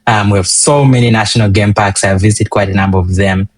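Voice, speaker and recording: A young man from Uganda with a low, grounded voice talks through his background as an interview guest. He speaks earnestly and in measured steps, often pausing on an um or restarting a phrase, and the sound is dry and close with no room around it. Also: steady tone, no echo. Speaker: guest, public health physician. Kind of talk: conversational interview answers.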